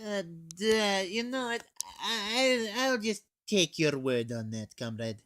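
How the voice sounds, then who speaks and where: Guy doing a brash game soldier, grumbly and exclamatory, bright and high. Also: expressive, takes it slowly, full character voice. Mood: grumbling. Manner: blunt, sweary, exclamatory. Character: brash, grumbling Russian special-forces game operator. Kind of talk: comic character commentary.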